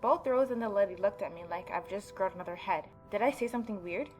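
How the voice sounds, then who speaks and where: A woman gaming YouTuber reading a story game aloud and adding expressive, mocking asides. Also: medium pitch, quick pace, faint music behind. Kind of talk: let's-play reading aloud with reaction commentary.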